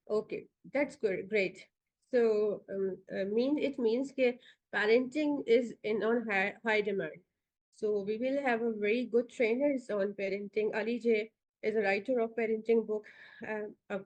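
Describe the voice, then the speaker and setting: A woman's voice: medium pitch, steady tone, unhurried, a little mellow, dull audio. A woman in her forties with a Pakistani accent, giving an online orientation talk on a video call with many hesitant fillers. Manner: hesitant, many fillers, directs slide changes. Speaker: institute founder giving orientation.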